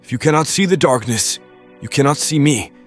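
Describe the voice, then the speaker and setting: A man's voice: medium pitch, expressive, brisk, natural voice. Guy doing a pompous threatening owl politician, talks down to everyone, radio play with faint music. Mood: grandiose warning. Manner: pompous, condescending. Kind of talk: audio play acting. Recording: music behind the voice.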